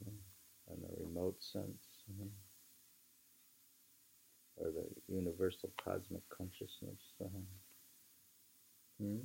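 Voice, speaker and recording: A man reading literature aloud in an emphatic, deliberate, musing way, with informal asides. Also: low voice, gravelly, mellow, breathy edge, rough recording. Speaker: reader.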